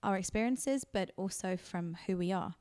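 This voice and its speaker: A woman's voice: medium pitch, normal speed, a little mellow, no room sound, clean studio sound. A woman in her thirties from Argentina, hosting a casual podcast chat, talking loosely with frequent fillers and laughter. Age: 30s. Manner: laughing, self-correcting, chatty. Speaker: podcast host.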